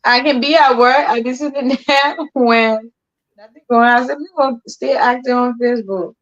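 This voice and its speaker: Laughing Liberian woman hosting a stream. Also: moderate pace. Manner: laughs often, relaxed storytelling with colloquial slang. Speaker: host. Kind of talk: casual chat livestream. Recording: muffled low-fidelity stream audio.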